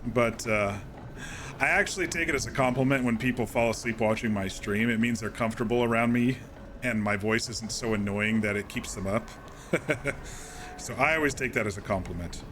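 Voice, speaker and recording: A man streaming a game, casually recapping events in a calm, rambling way with many fillers and restarts. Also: medium pitch, normal speed, a little hiss or hum.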